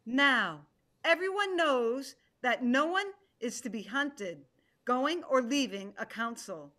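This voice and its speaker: A stern tigress commands in a dramatic stage reading, played by a woman. Her voice is sharp and high, with animated intonation and declarative force. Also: takes it slowly, exaggerated voice. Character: stern, wrathful female tiger who leads the council. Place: home room, video call.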